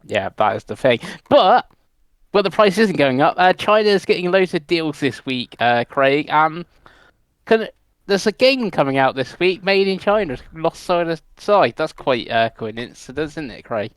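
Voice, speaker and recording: A man's voice: a little high, very animated, a little slow, smooth, no echo. A man co-hosting a casual gaming podcast, reading out gaming news in a chatty, rambling way. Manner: chatty, rambling delivery with laughs.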